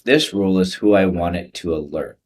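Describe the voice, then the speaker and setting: Low-voiced man presenting a tutorial. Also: brisk, darkish tone. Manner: informal, explanatory step-by-step delivery. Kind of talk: software tutorial walkthrough.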